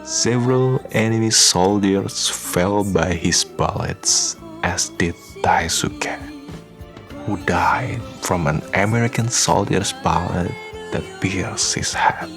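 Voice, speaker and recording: A man's voice: low-pitched, takes it slowly. A man reading scripted narration for a radio drama. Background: music.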